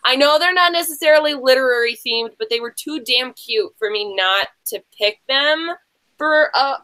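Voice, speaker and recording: A woman with a fairly high, sharply bright voice hosts a casual online game show. She is chatty and gushing. She rambles, breaks off mid-thought and drops in slangy asides as she goes. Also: normal speed. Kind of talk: casual livestream hosting, chatty.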